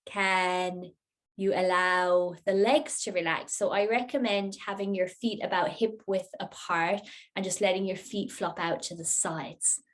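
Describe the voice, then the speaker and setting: Gentle woman guiding relaxation. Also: fairly low pitch, fairly even intonation. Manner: gentle, unhurried, soothing. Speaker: yoga nidra teacher guiding a class. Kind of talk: guided relaxation instruction.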